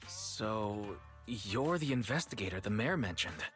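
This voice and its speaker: An actor playing a kind, level-headed young man in a video game, with a soft husky voice and a gentle, courteous manner. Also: on the low side, lively intonation, measured pace, soft background music. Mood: curious, polite.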